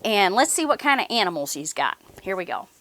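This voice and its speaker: A woman's voice: medium pitch, brisk. A woman hosting a story time, reading and talking expressively to young children and often asking them questions. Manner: animated, asks the children questions. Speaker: library story time host.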